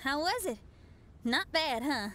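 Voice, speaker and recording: A woman's voice: high voice, lively intonation, slow pace, fairly bright tone, slight background noise, exaggerated voice. A woman playing an upbeat, confident young rock musician in a video game, sincere and casual. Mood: content. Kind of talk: video game character acting. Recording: faint music behind.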